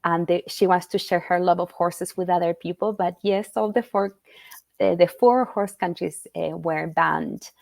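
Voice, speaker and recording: A woman's voice: fairly low pitch, normal speed, vocal fry, a little mellow, no echo. A middle-aged woman from Argentina, a children's book author answering interview questions in an earnest, thoughtful way with frequent fillers and restarts. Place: at home in Utah.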